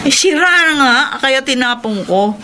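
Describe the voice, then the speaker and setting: A woman's voice: high voice, expressive, measured pace, bright, forceful tone, exaggerated voice. A woman playing an evasive, defensive cheating wife in a Filipino radio drama, with a Filipino accent. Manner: defensive, brushing off questions. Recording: noisy radio drama recording.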